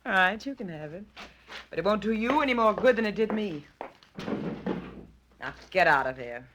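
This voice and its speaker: Female schemer acted, vintage radio mystery. Medium pitch with lively swings, sweet on the surface, slippery underneath. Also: normal speed, a little hiss or hum, natural voice. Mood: desperate threatening. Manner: coy, defensive. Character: evasive, outwardly charming woman hiding a crime. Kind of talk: 1940s radio drama acting.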